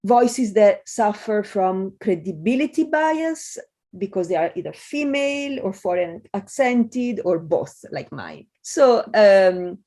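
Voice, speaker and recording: A woman from Italy with an Italian accent, a scholar answering interview questions in a thoughtful way with frequent pauses and fillers. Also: a little high, mellow. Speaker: guest scholar.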